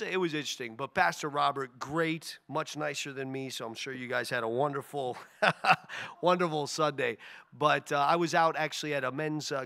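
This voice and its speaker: A pastor in his thirties with a tired, raspy voice, joking and telling stories from a church pulpit into a stand mic. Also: a little high, no room sound, clean studio sound. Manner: joking, storytelling, animated. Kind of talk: casual sermon announcements. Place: church stage.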